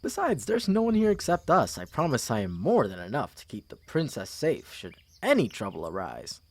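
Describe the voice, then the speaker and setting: Smug young royal suitor voiced by a man, fantasy ASMR drama, patronizing and theatrical, very animated intonation. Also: medium pitch, moderate pace, no room sound. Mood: smug, commanding. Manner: smooth, pompous, theatrically expressive. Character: smug, condescending young prince courting a princess. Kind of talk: ASMR fantasy audio roleplay.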